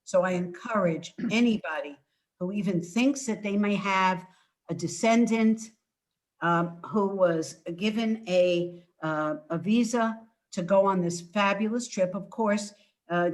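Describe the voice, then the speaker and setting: An older woman speaks at an unhurried, slow pace, pausing and restarting, then leaning on key words. She sounds like an author giving an informal talk from home on a video call. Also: mid-range voice. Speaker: author presenting her book. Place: indoors at home.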